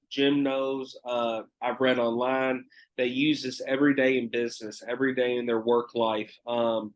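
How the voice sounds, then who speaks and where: A man's voice: mid-range voice, poor audio. A man hosting his own podcast alone, talking casually with many fillers and some swearing, sounding nasal because he is getting over a cold. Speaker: podcast host. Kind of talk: casual solo podcast talk.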